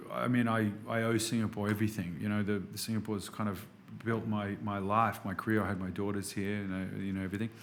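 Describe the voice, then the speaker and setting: A man in his thirties from Australia with an Australian accent, a podcast guest chatting casually and enthusiastically, full of restarts and fillers. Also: fairly low pitch. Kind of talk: casual interview chat.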